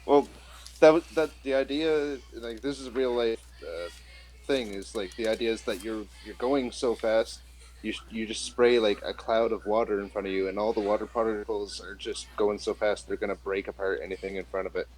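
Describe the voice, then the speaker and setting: A man's voice: fairly low pitch, steady tone, moderate pace, a little mellow, poor audio. A man running a tabletop game, explaining in a rambling way with many restarts. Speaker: game master. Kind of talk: casual tabletop game talk.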